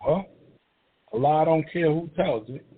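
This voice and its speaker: A man's voice: on the high side, unhurried, a little hiss or hum. A man of about fifty co-hosting a podcast with folksy, teasing, colloquial banter. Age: around 50. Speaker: podcast co-host. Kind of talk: casual banter. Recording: narrow phone-line sound.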